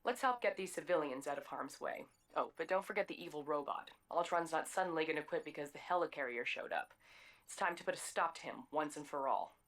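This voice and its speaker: A woman playing a brisk, upbeat mission announcer in a video game. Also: low voice, fairly bright tone. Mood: upbeat, determined.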